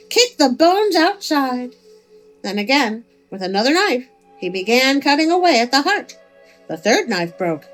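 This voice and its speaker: A woman's voice: a little high, poor audio. Woman storyteller over background music. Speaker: storyteller, host of a story channel. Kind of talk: expressive storytelling narration. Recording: music under the voice.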